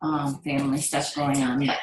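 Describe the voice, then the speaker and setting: A woman in a group call, chatting casually in a slow, soft, easygoing way. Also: extremely low pitch, flat delivery, roomy sound. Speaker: group member.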